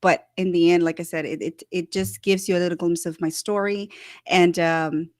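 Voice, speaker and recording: A woman from El Salvador hosting a casual online talk show, bubbly and quick, laughing often and restarting her sentences. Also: on the low side, steady tone, no room sound, studio quality. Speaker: host.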